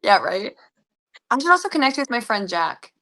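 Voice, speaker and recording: A woman's voice: a little high, sing-song, moderate pace, bright, forceful tone. A woman chatting casually and enthusiastically as an actress being interviewed.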